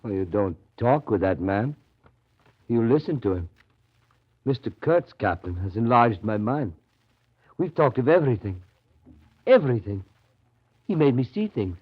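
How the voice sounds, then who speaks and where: An actor playing a ragged, awestruck Russian devotee in a 1930s radio drama, with a hesitant, reverent, confiding way of talking. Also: male voice, medium pitch, very animated, unhurried, pauses often, breathy edge. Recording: muffled 1930s radio recording.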